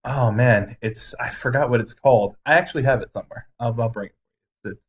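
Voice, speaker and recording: A man's voice: medium pitch, brisk. A man co-hosting a podcast in casual, agreeable conversation. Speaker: podcast co-host.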